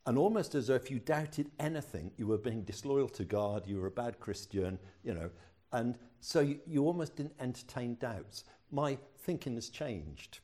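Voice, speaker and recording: A man's voice: medium pitch, animated, moderate pace, hardly any pauses, mellow. An older man, a pastor preaching a sermon in a chatty storytelling way with self-deprecating humor.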